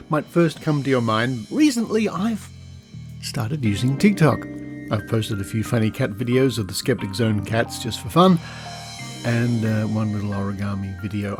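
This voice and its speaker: A man with an Australian accent hosts a podcast in an informal, conversational style. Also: mid-range voice. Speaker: podcast host.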